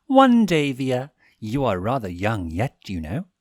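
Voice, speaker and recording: A man's voice: mid-range voice, wildly swooping pitch, measured pace, dry recording, clean studio sound. A man voicing a refined, affectionate owl demon prince with a courtly, theatrical manner in a story reading. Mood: fond, amused. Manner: courtly, theatrical, formal phrasing. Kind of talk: fan fiction story reading.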